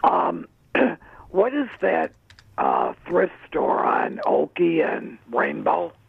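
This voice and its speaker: A woman calling in to a radio show who says she has a deep voice. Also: wildly swooping pitch, very slow, vocal fry, husky, no echo. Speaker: caller. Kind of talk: phone call to radio show. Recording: tinny phone line.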